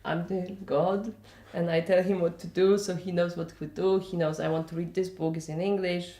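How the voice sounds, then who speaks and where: A Polish-accented woman with a fairly low voice gives casual interview answers. She rambles conversationally and often checks in with the listener. The room around her is noticeably echoey. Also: level tone, moderate pace, warm tone. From Poland. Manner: conversational, rambling, checks in with "you know" and "yeah?". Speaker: interviewee, festival organiser.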